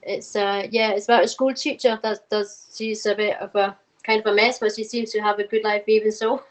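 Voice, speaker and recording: A woman's voice: medium pitch, level tone, fairly quick, low-quality recording. A woman from Denmark whose first language is Danish, speaking English as a polite livestream guest who explains things plainly. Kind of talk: casual chat.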